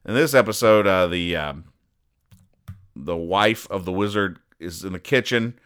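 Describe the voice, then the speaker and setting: A man hosting a comedy podcast, giving deadpan, mock-serious explanations with halting asides. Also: low-pitched, smooth. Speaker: podcast host. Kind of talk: deadpan comedic commentary, recapping comic strips.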